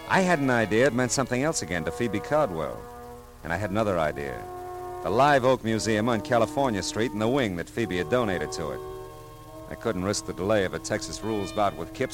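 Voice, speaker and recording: A low-voiced, hard-boiled private eye, dry and sardonic. The delivery is clipped 1940s radio detective acting, with music behind it. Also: male voice. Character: wry, hard-boiled male private detective narrating his case.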